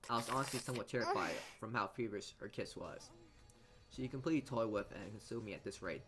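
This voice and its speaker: A man reading a visual novel aloud as dramatic narration, halting often as he stumbles over and repeats words. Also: fairly low pitch, music in the background. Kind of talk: dramatic read-aloud narration for a let's play.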